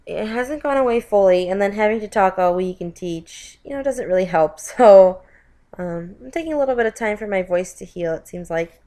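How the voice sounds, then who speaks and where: A woman's voice: medium pitch, normal speed, mellow. A woman narrating a card-making tutorial as a voiceover, sounding stuffy from a lingering cold, chatty and often correcting herself. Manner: chatty, with frequent self-corrections and "you guys". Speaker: craft video host.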